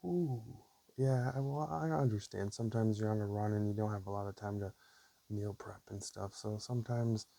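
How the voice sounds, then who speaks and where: Gravelly low-voiced man doing soft ASMR. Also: fairly even intonation, dry recording. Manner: hesitant, unhurried, casual. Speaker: ASMR creator. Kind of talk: ASMR, soft-spoken and whispered personal talk. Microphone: camera or basic mic, spoken close. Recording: faint hiss on amateur mic.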